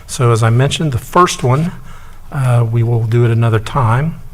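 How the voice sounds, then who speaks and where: A man serving as mayor, chairing a city council meeting and giving formal remarks in a measured way, with fillers and pauses. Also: medium pitch, a little hiss or hum.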